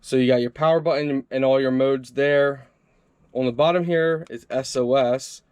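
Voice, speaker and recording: Chatty guy showing off a gadget, easygoing review talk, middle pitch. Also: steady tone, normal speed, darkish tone, clean tone. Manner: upbeat, chatty, talks to viewers as "guys". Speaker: product reviewer. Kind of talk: casual hands-on product review.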